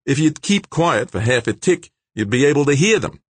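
A man's voice: medium pitch, big pitch swings, normal speed, slightly rough, dry recording, voice pushed up. A man putting on a big blunt young Aussie. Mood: blunt, cheery. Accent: Australian.

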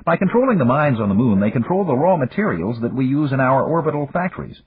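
A man's voice: mid-range voice, sing-song, quick pace, voice pushed up, heavily acted. A man voicing a weary, blunt middle-aged American businessman who tends to mutter. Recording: dry, phone-like, husky.

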